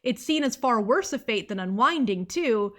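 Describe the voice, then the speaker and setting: A young woman talks through a book in a video essay, her voice sitting a bit higher than most. She sounds earnest and analytical, and she wanders into side remarks with a small laugh before picking her script back up. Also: lively intonation, moderate pace, a bit bright. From United States. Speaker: book reviewer.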